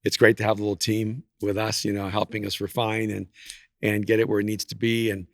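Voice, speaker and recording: A man in his sixties, an author talking on a podcast, speaking in a measured, reflective way. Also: fairly low pitch, completely flat delivery, creaky, dry recording, clean studio sound. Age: 60s. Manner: measured, reflective, laughs easily. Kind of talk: casual podcast chat.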